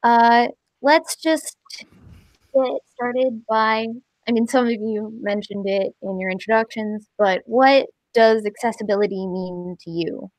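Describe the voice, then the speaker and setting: Higher-voiced woman moderating a panel, dry sound. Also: fairly even intonation, unhurried, no room sound, rough recording. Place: remote video call.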